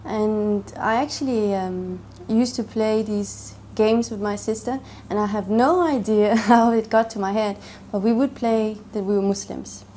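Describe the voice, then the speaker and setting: A woman's voice: medium pitch, a little slow, hardly any pauses, mellow, a little hiss or hum. Hesitant woman telling her story. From Denmark. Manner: hesitant, many fillers and restarts. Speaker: interviewee. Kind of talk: personal testimony interview.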